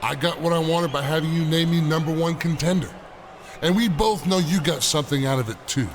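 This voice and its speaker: A man's voice: on the high side, brisk, close to an everyday voice. A man playing a cocky, dismissive big pro wrestler in a video game cutscene, with a smug, swaggering manner. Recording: noisy game cutscene audio.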